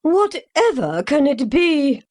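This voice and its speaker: A woman playing a gloomy, solemn mother in a comic parody play, with a portentous, drawn-out melodramatic delivery. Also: sits high, very animated, darkish tone, full character voice. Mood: solemn concern. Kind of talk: comic stage-play acting.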